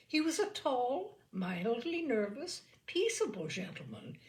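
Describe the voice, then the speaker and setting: A woman reading literary stories aloud as a storyteller, with measured, deliberate, expressive phrasing. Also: on the high side.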